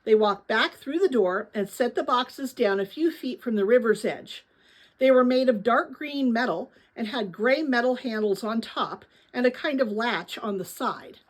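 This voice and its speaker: A woman with a fairly high, husky voice reads a children's story aloud. Her delivery is dramatic and very animated, shaped to engage young listeners. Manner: expressive, pauses to address young listeners with questions. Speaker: read-aloud narrator of a children's novel.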